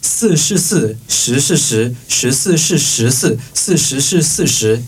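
Slow-talking man, distant noisy mic. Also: medium pitch, expressive. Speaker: radio show participant. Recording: distant mic, clear background noise.

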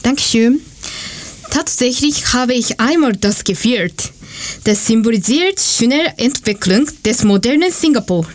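A woman from Japan presenting a class segment in a slow, careful learner's manner. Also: on the high side, expressive. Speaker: student presenter. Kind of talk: read-aloud class presentation.

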